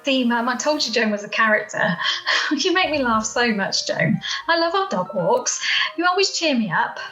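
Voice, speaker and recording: A woman's voice: a little high, lively intonation, normal speed, bright, forceful tone. A woman playing a friendly, eager daughter in an amateur radio play, effusive and affectionate. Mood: affectionate, helpful. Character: friendly, eager daughter helping host an evening. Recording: echoey room, home video call, faint music.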